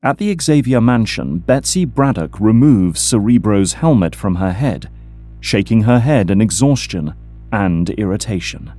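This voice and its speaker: A man's voice: on the low side, expressive, unhurried, dark tone, no room sound, faint music behind. A man reading scripted, dramatic third-person narration for an audio drama as its narrator.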